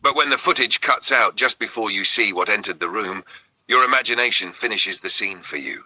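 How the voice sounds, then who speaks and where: A man narrating a scripted horror story in a suspenseful manner, breaking it into short phrases with dramatic pauses. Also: fairly low pitch, bright, forceful tone, slightly rough, rough recording.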